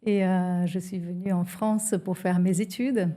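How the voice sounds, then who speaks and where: A middle-aged woman from Brazil, a native Portuguese speaker, giving a personal testimony as a podcast guest in a halting way with pauses. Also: on the low side, flat delivery, warm tone.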